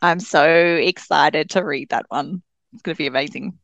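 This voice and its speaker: Lowish-voiced woman, chatty and enthusiastic. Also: normal speed, vocal fry, a bit bright, no echo. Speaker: guest, children's author. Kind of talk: casual book podcast conversation.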